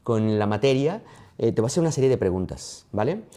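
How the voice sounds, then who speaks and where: Male podcast host, dark mellow tone, brisk questioner. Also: mid-range voice, very animated. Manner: quick rapid-fire questions. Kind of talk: interview.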